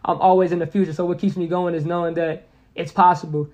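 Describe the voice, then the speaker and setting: A man's voice: on the high side, little pitch movement, fast, darkish tone, dull audio. A man in his early twenties from Indianapolis, a podcast guest talking casually and earnestly, repeating himself for emphasis and using plenty of slang. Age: 20s. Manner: earnest, motivational, repeats phrases with lots of slang.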